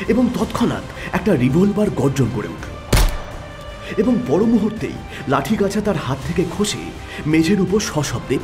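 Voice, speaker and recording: A man giving dramatic scripted narration of a detective story. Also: high voice. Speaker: narrator who also reads the detective.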